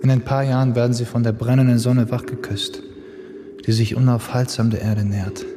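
An actor playing a brooding man who muses in a solemn, hushed, lingering radio play monologue. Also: mid-range voice, lightly acted. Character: brooding man musing over sleeping humanity. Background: music.

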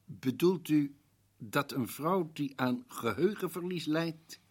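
A man's voice: a little high, lively intonation, takes it slowly, darkish tone, breathy edge, exaggerated voice. A man playing an earnest, polite visitor who questions a prison governor in a radio play. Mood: probing. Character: male visitor narrating his memory, earnest.

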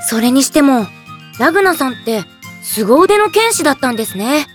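A woman's voice: sits high, big pitch swings, moderate pace, soft background music. A woman playing a polite, eager, friendly teenage boy adventurer in a Japanese game drama CD. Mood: admiring.

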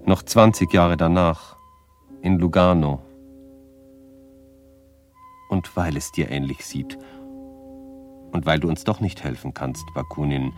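An actor playing a solemn poet, reciting dramatic verse in measured, declamatory short phrases for a radio play. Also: male voice, very deep voice, fairly even intonation, soft background music. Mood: solemn narrating.

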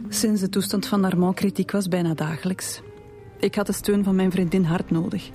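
An actress playing a composed, grieving middle-aged widow with a Flemish accent, sorrowful and restrained, in a radio drama. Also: female voice, medium pitch, steady tone, normal speed, warm tone, faint music behind, lightly acted. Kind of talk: naturalistic radio drama acting.

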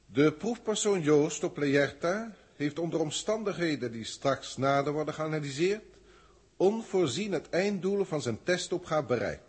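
A detached alien overseer, speaks in a formal, clinical way. His pitch rises and falls in wide swings over a husky, rough tone. It sounds like an early 1960s radio drama. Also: animated, normal speed. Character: cold alien overseer testing humans, middle-aged man. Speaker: senior proctor reporting to a galactic council.

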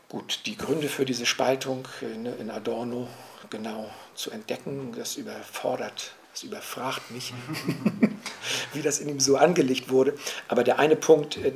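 A man with a gravelly, mid-pitched voice talks through ideas as an interviewee. He is conversational and analytical, checking in with short tag questions, over faint ambient noise. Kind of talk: informal analytical talk.

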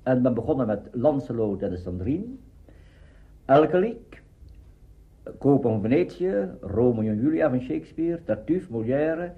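An older man looks back on his radio work in a halting delivery, drawing out some words and leaning on others. His pitch is average for a man. The sound is dull and lo-fi. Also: animated. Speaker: radio drama veteran being interviewed. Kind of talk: spoken reminiscence, listing works. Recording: dull lo-fi archive recording.

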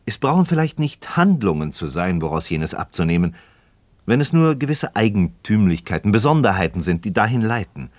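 An actor playing an inquisitive, skeptical but courteous friend in a radio drama, speaking in a deliberate, questioning way. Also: male voice, on the low side, big pitch swings, no room sound. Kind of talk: scripted philosophical dialogue in German radio drama.

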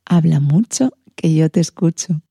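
A woman radio host with a fairly low, mellow voice presents and interviews from a script. Her tone is soft and relaxed. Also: a little slow, vocal fry, faint music behind.

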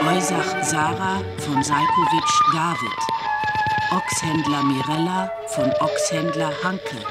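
A solemn radio announcer in a radio play, reading names very slowly and precisely. Her clipped delivery sits over loud flute music. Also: sits low. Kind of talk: radio announcement of name lists.

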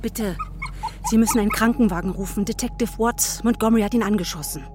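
A woman's voice: mid-range voice, quick pace, a little mellow, natural voice. Woman acting shaken but determined witness in a radio play, earnest, medium voice. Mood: urgent, shaken. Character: shaken but resolute woman witness explaining a violent night. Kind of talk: audio drama acting.

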